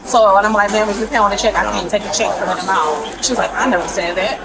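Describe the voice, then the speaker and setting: A woman's voice: medium pitch, fast talker, over a noisy crowd. A woman working as a store employee, casually recounting an incident. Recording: busy store noise, off-mic.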